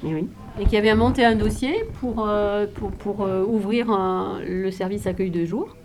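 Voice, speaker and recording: A woman's voice: mid-range voice, steady tone, moderate pace, darkish tone, slight background noise. A woman answering interview questions, explaining at length with many fillers. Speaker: interviewee, care service staff.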